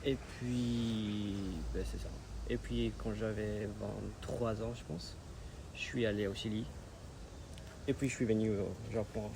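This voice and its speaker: A man in his twenties from Chile whose first language is Spanish, chatting as an interview guest, hesitant and relaxed with many fillers, recorded on a phone. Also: on the low side, a little slow. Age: 20s. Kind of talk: casual interview chat. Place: Japan. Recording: phone recording, background noise.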